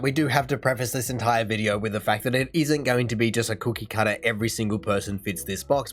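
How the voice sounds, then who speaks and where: Man, Australian accent, young adult, reaction host, fast talker, mid-pitched, soft music behind. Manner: chatty, measured, hedging. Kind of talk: casual commentary.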